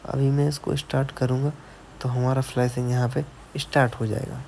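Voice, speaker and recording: A man walks viewers through fixing a phone on his computer, explaining each step and pausing to check they follow. His voice is gravelly and mellow, held in a flat, even pitch. A faint hiss sits under the recording. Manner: explains step by step, keeps adding "theek hai". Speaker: mobile repair technician. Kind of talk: screen-recorded tutorial narration. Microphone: voice-over on a screen recording.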